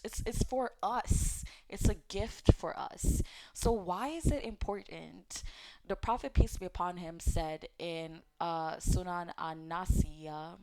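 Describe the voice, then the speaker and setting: A woman with a slightly low voice gives an informal faith lesson straight to her viewers. She talks casually and encouragingly, pausing on fillers and correcting herself as she goes. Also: smooth, poor audio. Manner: conversational, with fillers and self-corrections; gently encouraging, speaks directly to viewers. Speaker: host of an Islamic teaching channel. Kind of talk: casual religious teaching talk.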